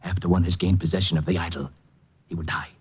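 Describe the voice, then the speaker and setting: An actor playing a jumpy, frightened little man in a nightshirt, nervous and excitable in a 1950s radio drama, on a muffled old recording with music. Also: low voice, fast talker, breathy edge. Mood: earnest. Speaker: client.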